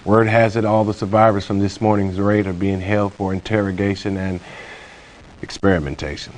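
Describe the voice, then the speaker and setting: An actor playing a grave, businesslike officer giving a formal, measured mission briefing in a video game. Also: male voice, fairly low pitch, steady tone, a little hiss or hum, close to an everyday voice, poor audio.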